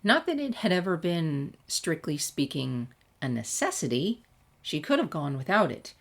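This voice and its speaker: A woman reading a scripted story aloud in expressive narration. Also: low voice, normal speed. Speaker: fan fiction reader.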